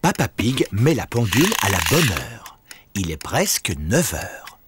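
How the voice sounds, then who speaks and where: A man acting as the cheerful narrator of a children's cartoon, in measured, scripted storytelling. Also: lowish voice, big pitch swings, heavy background noise. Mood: calm. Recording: music bed behind the voice.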